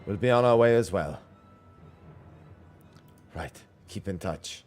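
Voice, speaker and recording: An earnest fantasy adventurer read aloud by a man speaks in a low voice with formal, archaic wording. The lines come from a video game, with faint music behind. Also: animated, slow pace, a little mellow. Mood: grave, resolute. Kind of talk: reading game dialogue aloud.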